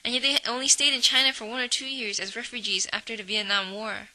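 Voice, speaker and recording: A woman's voice: a little high, level tone, quick pace, bright, forceful tone, poor audio. A young woman narrating a personal documentary in a reflective voice-over.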